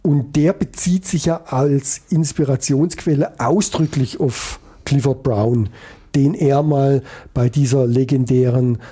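A man's voice: mid-range voice, steady tone, a little slow, warm tone, slightly rough. A man hosting a radio jazz show, chatting casually between tracks, rambling with frequent hesitations and fillers.

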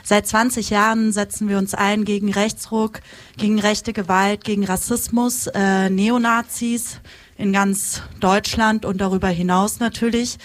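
A woman's voice: mid-range voice, flat delivery, measured pace. A woman activist speaking informally as she introduces herself at a live panel. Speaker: activist from an anti-racism initiative.

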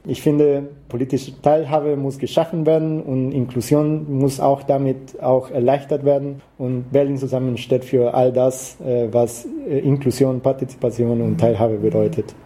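A man's voice: mid-range voice, level tone, moderate pace, hardly any pauses, mellow. A man from Rica, answering interview questions in German with frequent hesitations and fillers. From Costa Rica. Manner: hesitant, frequent "äh" fillers, non-native grammar. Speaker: activist guest.

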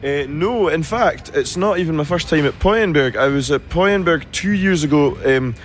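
A man in his twenties from the Scottish Highlands, a Gaelic native speaker with a Highland accent, answering interview questions about his band in a friendly, halting way full of ums and restarts. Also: animated, a little hiss or hum. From Scotland. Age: 20s. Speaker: band singer.

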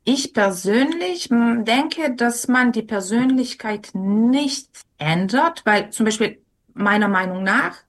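Slow, hesitant young woman. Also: on the high side, animated. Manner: many fillers and restarts, thoughtful. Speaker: interviewee. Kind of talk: hesitant conversational answers.

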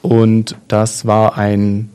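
Low, mellow-voiced man musing aloud. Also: completely flat delivery, very slow, smooth. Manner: thoughtful, hesitant, many fillers and restarts. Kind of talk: casual discussion.

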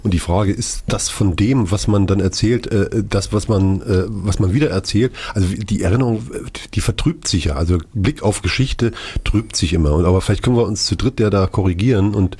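A man's voice: lowish voice, a little fast, hardly any pauses, darkish tone, husky. A middle-aged man from Gera, a radio presenter reflecting on memory and joking about himself in casual chat. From Gera, Germany. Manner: reflective, wry self-mockery. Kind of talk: reminiscing chat.

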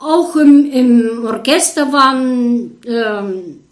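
An older woman from Bulgaria with a Bulgarian accent, a violinist recalling her past in an interview in slow, hesitant German full of fillers. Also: a little high, lively intonation. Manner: hesitant, many fillers, searching for German words. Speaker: orchestra violinist. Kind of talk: interview recollection.

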